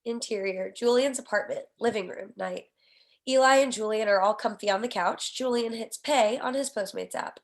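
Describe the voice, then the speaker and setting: A woman reading stage directions at a screenplay table read, with an expressive, wry delivery. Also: fairly high pitch, moderate pace.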